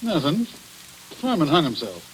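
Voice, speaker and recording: An actor in an old radio drama playing a slack, talkative small-town jailer with a folksy, colloquial and defensive way of talking. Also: male voice, on the high side, huge pitch swings, moderate pace, rough recording. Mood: nosy, offhand. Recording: hissy vintage radio broadcast recording.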